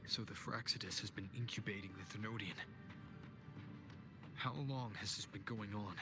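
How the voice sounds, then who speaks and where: A man's voice: fairly low pitch, fairly even intonation, normal speed, close to an everyday voice. A man playing a wary, questioning hero in a fantasy video game, with a serious, probing delivery. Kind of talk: scripted game dialogue. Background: prominent background music.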